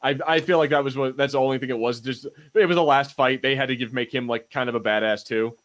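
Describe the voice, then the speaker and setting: A man's voice: mid-range voice, fairly even intonation, quick pace, a bit bright. Casual man co-hosting a podcast. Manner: informal, mild swearing. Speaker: podcast host. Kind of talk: casual review chat about TV shows.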